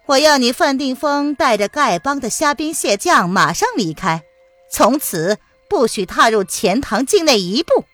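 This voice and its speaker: A cold, mocking mistress of the martial world, acted by a woman narrator. She speaks with composed command and very animated intonation, as in a dramatised audiobook, in a dry recording over faint music. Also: high voice, a little slow, no echo, voice pushed up, exaggerated voice. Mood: taunting, commanding. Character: cold, taunting villainous woman, martial-arts sect mistress.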